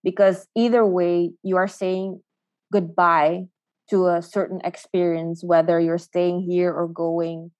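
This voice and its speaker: Young woman co-host, low flat delivery, soft, dry mic. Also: takes it slowly, no echo. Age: young adult. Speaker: podcast co-host. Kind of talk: casual reflective chat.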